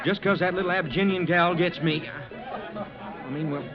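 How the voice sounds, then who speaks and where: An actor playing a bashful young cowhand in an old radio western, with a folksy, stammering, blustering manner and a rural Western American accent. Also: male voice, sits high, moderate pace, crowd noise, lightly acted. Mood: nervous, sheepish. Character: bashful, flustered young cowhand, reluctant new groom. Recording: muffled vintage radio recording, music behind.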